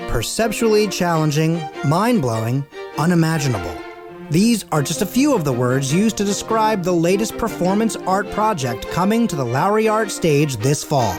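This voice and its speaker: A man's voice: on the high side, sing-song, normal speed, over music. A man reading a scripted, dramatic advertisement for an arts event. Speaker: advertisement announcer.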